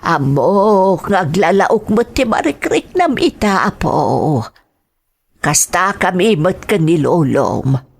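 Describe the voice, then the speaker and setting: A woman acting an elderly, caring, worried Filipino grandmother in an audio drama, with a husky voice and tender manner. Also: fairly low pitch, sing-song, slow pace, heavily acted. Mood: tender, pleading.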